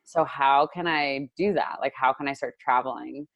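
A woman's voice: very deep voice, normal speed, gravelly, dry recording, highs cut off. A woman in her twenties from Indiana, a guest chatting casually about her work, with lots of filler words and frequent laughs. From United States, Indiana. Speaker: guest, digital marketer. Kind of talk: casual interview chat.

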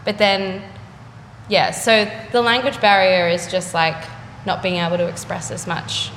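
A young woman, an artist chatting casually in an interview, full of fillers and quick laughs. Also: on the low side, flat delivery. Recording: echoey room with faint noise.

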